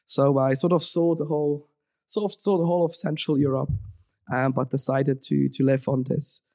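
A man's voice: on the high side, fairly even intonation, moderate pace, vocal fry, dark tone, dry recording. A young man from Switzerland, a podcast guest talking casually, rambling with many ums and restarts. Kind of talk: casual interview talk.

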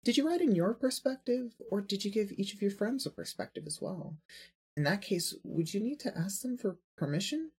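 A man's voice: high-pitched, animated, moderate pace, darkish tone, husky. A man narrating a scripted audio story, reading prose addressed in the second person.

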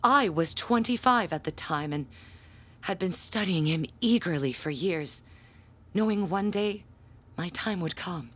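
An actress playing a proud, aggrieved, self-important middle-aged church bell ringer in an audio drama, speaking in a theatrical, haughty, deliberate way. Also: female voice, on the low side, animated. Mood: solemn, matter-of-fact.

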